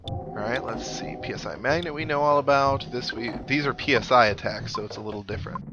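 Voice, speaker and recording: A man's voice: medium pitch, brisk, lo-fi sound. A man giving casual video game playthrough commentary, musing aloud as he thinks through tactics. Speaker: let's player. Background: video game music.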